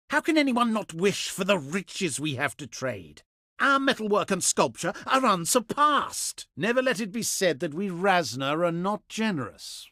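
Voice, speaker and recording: A pompous ancient Etruscan statesman for a strategy game, cultured and condescending. His formal, theatrical delivery swings widely in pitch with deliberate emphasis. The sound is dry, with no room around it. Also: pushed and bright, no echo, heavily acted. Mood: lofty persuasion. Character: haughty ancient Etruscan male diplomat, pious, cultured and condescending. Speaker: faction diplomat in a strategy game. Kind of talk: theatrical scripted game voice acting.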